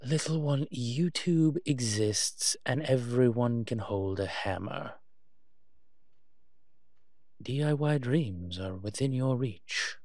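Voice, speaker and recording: Roleplay audio drama: ancient male vampire played by a man, refined and fussy, pompous wit, unhurried delivery, dry close sound. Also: mid-range voice, darkish tone, no room sound. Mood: pompous, teasing. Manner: pompous, wry, posh turns of phrase. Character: refined, haughty, centuries-old male vampire, fussy and teasing.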